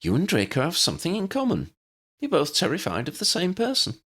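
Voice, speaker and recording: Man putting on a high, feisty young witch. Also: huge pitch swings, a little fast, a bit bright, slightly rough, full character voice. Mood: teasing. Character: feisty, scolding young witch, firm and loyal.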